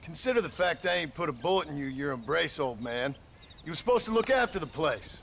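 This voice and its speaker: An actor playing a gruff, dry-spoken reformed outlaw rancher and father with an American Western accent in a video game. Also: a little high, expressive, a little fast, fairly bright tone, slight background noise. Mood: stern, irritated. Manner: dry, terse. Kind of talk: video game cutscene acting.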